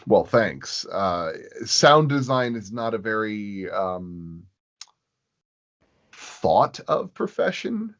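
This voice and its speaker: A man with a fairly low voice talks casually as a podcast guest. He explains himself in a halting, rambling way, feeling out each phrase. The recording is muffled and lo-fi. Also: very animated, fairly bright tone. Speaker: sound designer, podcast guest. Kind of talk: casual interview chat. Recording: dull, lo-fi audio.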